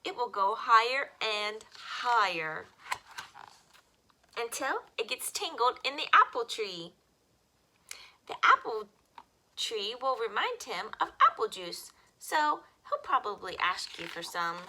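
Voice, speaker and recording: Bright, lively woman reading children's stories. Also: fairly high pitch, takes it slowly, a little hiss or hum. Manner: expressive, simple phrasing addressed to young children. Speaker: story time host reading children's books. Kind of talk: children's read-aloud storytelling.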